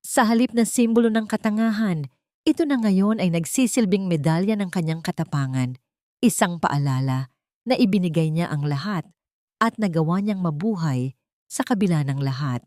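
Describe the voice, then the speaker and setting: A woman with a Filipino accent narrating a scripted dramatic story in a measured, sentimental, literary way. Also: fairly low pitch, animated, darkish tone, no room sound, studio quality.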